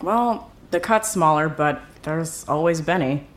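A tough money-minded collector lady, lowish voice, blunt, businesslike, audio drama. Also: a little slow. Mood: cynical, dry. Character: hard-nosed, blunt, mercenary woman debt collector.

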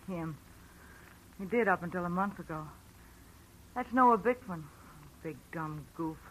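Bright-voiced, guarded young farm woman. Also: lowish voice, expressive, slow. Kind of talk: 1940s radio acting. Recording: faintly noisy old radio recording.

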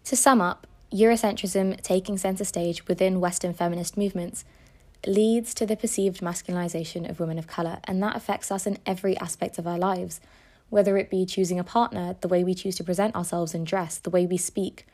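A woman's voice: on the low side, steady tone, fairly quick, darkish tone. A young woman hosting a podcast, reading a scripted personal essay in an earnest tone. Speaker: podcast host.

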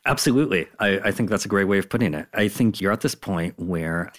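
Deep voiced guy being interviewed, keen and explanatory, chatty delivery. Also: brisk. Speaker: interview guest, content designer. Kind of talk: conversational interview answers.

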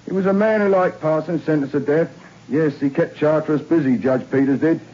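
A man's voice: a little high, a little fast, dark tone, natural voice. An actor playing a loyal male prison warder who answers his governor respectfully and matter-of-factly in a 1960s radio drama. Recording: dull lo-fi old radio recording with faint hiss.

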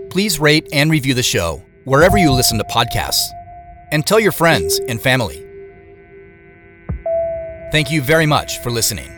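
Man reading show credits over music. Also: mid-range voice. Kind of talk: scripted credits narration.

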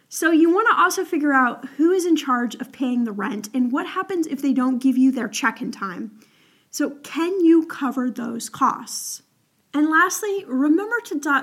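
A young woman hosting an advice podcast in a chatty, upbeat way, her voice a little crackly from the early morning. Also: high voice. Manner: chatty, upbeat, lots of "like" and "all right?". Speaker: podcast host.

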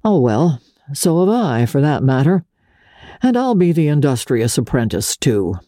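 A woman's voice: deep voice, very animated, unhurried, mellow, dry recording, clean studio sound, full character voice. A woman voicing a wry, shabby male journalist with an offhand, sardonic conversational manner in an audiobook of a classic novel.

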